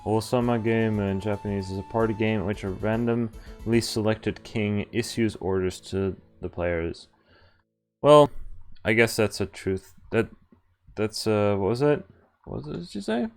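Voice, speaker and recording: A man's voice: on the low side, a little slow, gravelly. A young man streaming a visual novel, reading its text aloud with casual, amused commentary over game music. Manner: casual, sweary, amused reactions.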